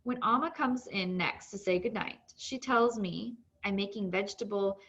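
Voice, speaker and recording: Female read-aloud narrator for children's fiction, mid-range pitch, slightly muffled lo-fi recording. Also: moderate pace, a bit bright. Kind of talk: scripted narration of a children's novel.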